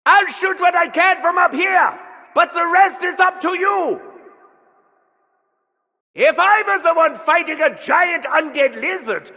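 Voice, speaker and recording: An actor with a German accent playing a manic, bloodthirsty male mad scientist shouting gleeful taunts while fighting zombies in a video game. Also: extremely high pitch, unhurried, long pauses, in a large hall, full character voice. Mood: cackling. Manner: shrieking gleeful battle taunts. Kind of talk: video game combat voice lines.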